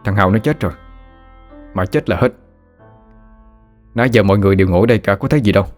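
Guy doing a chill friend calming someone down, lowish voice. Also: fast, soft background music, close to an everyday voice. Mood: soothing. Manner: soothing, reasoning. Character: calm young man comforting a friend.

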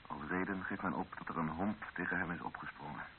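An actor playing an anxious male journalist who narrates his own ordeal in a measured, literary way in a radio drama. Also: sits low, natural voice. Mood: grave, restrained. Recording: dull narrow-band old radio recording with hiss.

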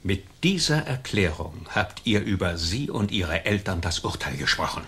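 An imperious colonial governor with a raspy, forceful voice. His pitch moves widely as he speaks with formal scorn and a vindictive temper, in radio drama style. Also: very animated, normal speed, exaggerated voice. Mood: stern, accusing. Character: haughty, vindictive colonial governor, quick to rage. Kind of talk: German radio play acting.

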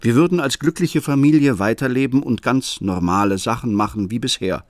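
An actor narrating a radio play as a troubled father, speaking in a calm, reflective, confessional way. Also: medium pitch, brisk, dry recording, close to an everyday voice. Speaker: first-person narrator.

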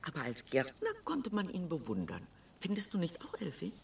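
A woman's voice: lowish voice, wildly swooping pitch, brisk, creaky, darkish tone, recorded from a distance, a little hiss or hum, heavily acted. A woman playing a mild, naive elderly retired teacher in a radio drama, speaking timidly and solicitously. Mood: wistful. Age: older. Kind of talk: German radio drama acting.

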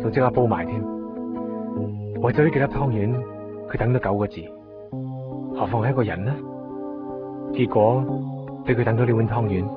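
A plain-spoken Hong Kong man in a radio drama, played by an actor, with a slightly impatient temper. His voice sits at a medium pitch. It is a dull, lo-fi broadcast recording with music clearly behind him. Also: natural voice. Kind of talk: Cantonese radio drama acting and storytelling monologue.

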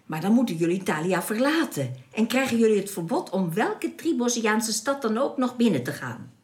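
Composed alien guide, lively intonation. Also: female voice, a little high, normal speed. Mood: composed, firm. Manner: formal, explanatory, matter-of-fact. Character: composed, firm female alien guide to stranded spacemen. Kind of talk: radio drama acting.

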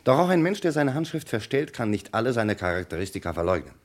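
A man's voice: mid-range voice, very animated, fast talker, natural voice. A man playing an insistent, methodical police commissioner in a German radio crime play, speaking precisely and emphatically. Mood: insistent, assured.

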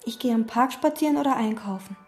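A withdrawn, obsessive hotel maid in a radio drama. Her voice sits a little high but sounds soft and mellow. She talks calmly, in terse, flat sentences. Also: fast, breathy edge, faint music behind. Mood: detached. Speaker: chambermaid. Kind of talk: radio play, inner monologue and dialogue.

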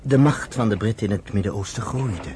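A man narrating a radio play as an expressive, deliberate storyteller. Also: on the low side, slight background noise, lightly acted.

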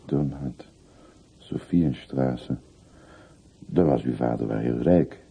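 An actor playing a humble, grieving older father in a radio play, speaking in a halting, respectful, solemn way. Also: a bass voice, vocal fry, mellow, breathy edge, exaggerated voice. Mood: wistful, reserved. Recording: muffled, noisy old radio play recording.

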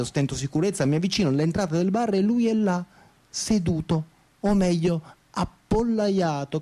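Guy acting bitter sarcastic provincial man, voice a bit high, telling his story radio drama style. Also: mellow, natural voice. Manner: sarcastic, scornful, foul-mouthed. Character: cynical, bigoted, sneering provincial Italian man narrating his night. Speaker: actor in a radio theatre monologue. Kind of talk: dramatic first-person monologue.

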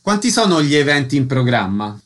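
A man's voice: mid-range voice, very animated, fairly quick, fairly bright tone. A man hosting a radio interview, putting short questions and reading out announcements.

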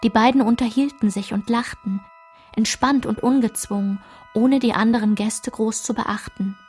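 An actress playing a woman who recalls her painful girlhood, narrating a literary radio drama in a measured, reflective way. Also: a little high, fairly even intonation, darkish tone, slightly rough, soft background music.

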